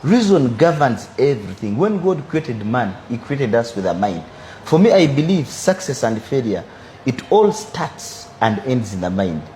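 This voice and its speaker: Guest: Ugandan man, twenties, mid-pitched, measured and reflective, faint noise behind. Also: big pitch swings, dark tone. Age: 20s. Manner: measured, philosophizing, repeats "for me, I believe". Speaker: businessman guest. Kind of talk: interview answers.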